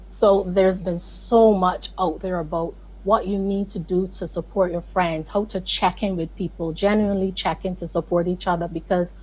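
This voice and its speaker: A woman's voice: fairly low pitch, steady tone, normal speed, hardly any pauses, a little mellow, breathy edge, rough recording. A Barbadian woman, a guest on a morning show, speaking earnestly and with emphasis. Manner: earnest, emphatic, pleading in places. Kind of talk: video call interview.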